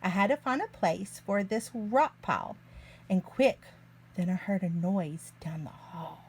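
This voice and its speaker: A woman reading a picture book aloud to young children in an expressive, deliberate way. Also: mid-range voice, breathy edge. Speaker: children's story reader, early learning teacher.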